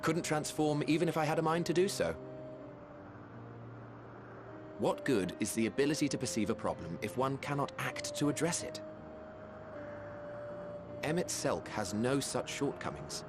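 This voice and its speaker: An actor playing a genial, wry mage in a video game, speaking in eloquent, formal phrases with fond admiration. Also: male voice, medium pitch, lively intonation, natural voice. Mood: earnest, admiring. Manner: eloquent, formal, measured storytelling. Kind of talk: video game cutscene acting.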